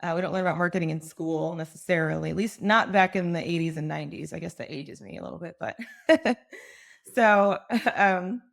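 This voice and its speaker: American lady in her 40s telling her story on a podcast, kinda low n creaky, chatty. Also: brisk. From American Midwest. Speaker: podcast guest, marketing professional. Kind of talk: casual storytelling in an interview.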